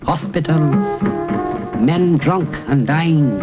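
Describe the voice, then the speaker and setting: A man gives a solemn, dramatic poetry recitation at a slow pace, breaking it into short, deliberate phrases. The sound is a dull, lo-fi seventies film soundtrack, with music prominent behind him. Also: fairly high pitch. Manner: measured, with deliberate pauses between short phrases.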